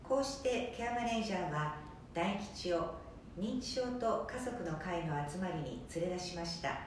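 A distant, very echoey recording of a woman narrating a radio drama. Her voice sits on the low side and she reads from a script. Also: animated, normal speed. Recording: very echoey, distant mic, faint background noise.

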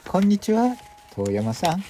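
Man voicing a friendly housewife, pitched high. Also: huge pitch swings, unhurried, dark tone, a little hiss or hum, exaggerated voice. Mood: friendly, polite. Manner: polite, neighbourly, feminine phrasing. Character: friendly neighbour housewife, polite and chatty. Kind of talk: radio drama dialogue.

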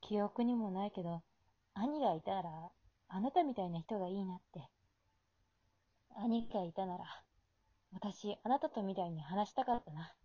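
A woman's voice: medium pitch, animated, moderate pace, long pauses, no echo, highs cut off, close to an everyday voice. A woman acting in an amateur radio drama as a weary, self-doubting young woman, speaking in a hesitant, subdued way. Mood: softly curious.

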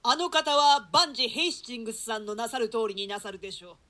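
A woman's voice: on the high side, lively intonation, fast, a bit bright. A woman performing a dutiful, deferential male courtier in a theatrical Shakespeare reading. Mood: deferential, cautious. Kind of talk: theatrical Shakespeare reading in archaic Japanese translation.

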